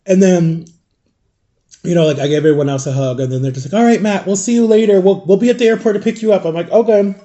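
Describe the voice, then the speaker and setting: Chatty man in his thirties, higher voice. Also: fast, mellow, muffled recording. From Florida. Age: 30s. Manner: rambling, self-correcting, chatty. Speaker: vlogger. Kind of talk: casual storytime chat to viewers.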